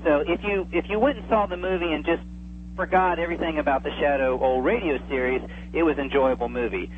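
A man's voice: fairly high pitch, fast. A man chatting casually as an interview guest over the telephone, in a hesitant, stammering manner. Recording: thin phone line with hum.

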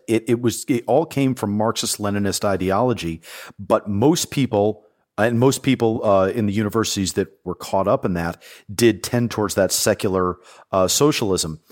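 Deep-voiced man explaining history. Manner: thoughtful, explanatory, with frequent fillers and restarts. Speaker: guest, former Army intelligence soldier. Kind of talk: podcast interview, explaining history.

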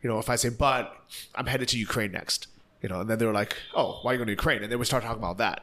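A man's voice: medium pitch, racing, fairly bright tone. A man from the United States, hosting a casual conversation and telling stories bluntly and energetically, acting out quotes as he goes.

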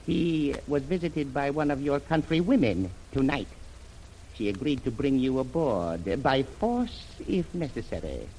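An actor playing a courteous, shrewd Chinese shipping magnate in a radio adventure, speaking formally and in measured proverbs. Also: male voice, mid-range voice, big pitch swings, darkish tone. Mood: grave, courteous. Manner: formal, measured, proverb-laden, dropping articles. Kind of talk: old-time radio adventure acting. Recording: noisy vintage radio drama recording.

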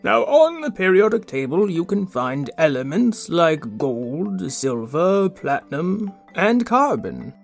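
A man's voice: high voice, big pitch swings, slow pace, vocal fry, hardly any pauses, soft background music, heavily acted. A man playing a vain, fussy but kindly inventor in a children's audio drama, formal and explanatory in an old-fashioned way. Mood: earnest, instructive.

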